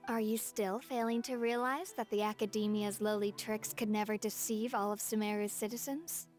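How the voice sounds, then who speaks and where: A woman's voice: mid-range voice, steady tone, moderate pace, faint music behind, close to an everyday voice. A woman playing a proud, defiant young student in a video game. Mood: haughty, defiant. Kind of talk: scripted video game dialogue.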